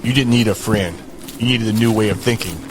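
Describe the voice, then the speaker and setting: A man's voice: lowish voice, fairly quick, background noise, lightly acted. An actor playing a curt, commanding man who demands loyalty, blunt and authoritative, in an audio drama.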